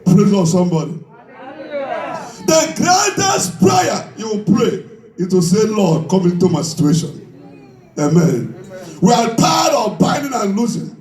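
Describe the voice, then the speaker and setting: High-pitched, declamatory male preacher in echoing hall. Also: big pitch swings, measured pace, a little mellow, some chatter behind. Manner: declamatory, repeats phrases emphatically, prompts the congregation for responses. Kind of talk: fervent Pentecostal-style sermon with call and response. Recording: echoey hall PA, distant mic, background music.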